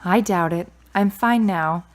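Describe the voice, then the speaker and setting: A woman's voice: lowish voice, a little slow, close to an everyday voice. A woman playing a candid, reflective, grieving daughter of about thirty in a radio drama. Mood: subdued, candid.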